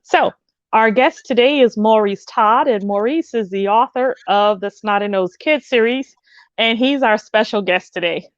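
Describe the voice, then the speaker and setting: A woman's voice: fairly high pitch, unhurried, dry recording. A woman hosting an online read-aloud event, making announcements with frequent ums. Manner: welcoming announcements with filler ums. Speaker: host. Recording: dull lo-fi sound.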